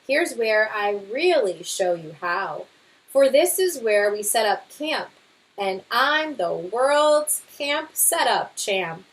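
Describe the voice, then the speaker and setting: Woman acting storybook papa bear, boastful, bumbling, emphatic, unhurried, fairly high voice. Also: exaggerated voice, rough recording. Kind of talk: storybook read-aloud.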